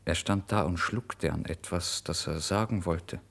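Proud, starving young writer, erratic and giddy, low voice, theatrical first-person radio drama monologue. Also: male voice, a little slow, gravelly, full character voice. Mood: anxious. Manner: theatrical, volatile, swinging between hushed and exclaiming.

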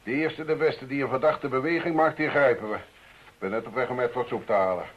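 An actor playing a curt, sceptical police inspector in a radio drama, with a husky voice. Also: male voice, medium pitch, fairly quick, a bit bright, close to an everyday voice. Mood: matter-of-fact. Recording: dull old radio recording.

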